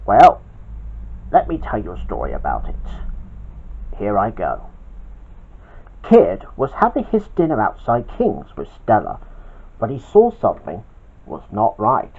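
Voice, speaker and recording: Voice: medium pitch, huge pitch swings, a little slow, pauses often, breathy edge. An amateur storyteller narrating aloud with an emphatic, stop-start delivery. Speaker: storyteller, channel host. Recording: dull, lo-fi home recording.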